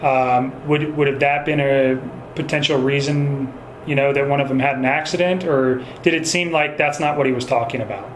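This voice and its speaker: A man speaking as a police investigator in a phone interview, choosing his words in a hesitant, measured and polite way. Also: medium pitch, fairly even intonation. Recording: echoey recorded phone call with faint noise.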